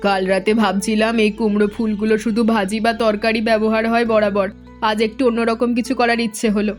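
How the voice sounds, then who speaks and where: A woman voicing a fond, practical village mother in a cartoon who speaks gently and scolds lightly. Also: medium pitch, little pitch movement, fairly quick, soft background music, close to an everyday voice. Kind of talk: cartoon voice acting.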